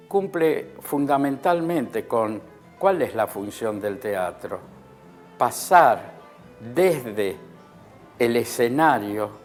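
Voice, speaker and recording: A man speaking in an interview in a thoughtful, measured way. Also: medium pitch.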